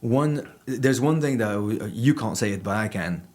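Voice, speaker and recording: A man hosting a casual interview, asking animated, rambling questions. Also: fairly low pitch, moderate pace. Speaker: podcast host, former rugby player.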